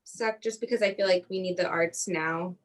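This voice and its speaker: A woman's voice: fairly low pitch, fairly even intonation, normal speed, distant mic. A woman chatting casually as a panelist, recorded away from the microphone. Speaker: roundtable panelist, theater artist. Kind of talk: casual chat.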